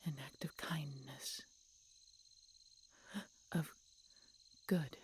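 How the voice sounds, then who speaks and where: A woman voicing a tender, regretful, dying she-dragon in an audio roleplay, breathy and whispery, speaking haltingly with weak, labored breath. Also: low voice, steady tone, slight background noise. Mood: regretful, resigned. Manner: slow, halting, labored speech with pauses and gasps. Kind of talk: audio roleplay, intimate dramatic monologue.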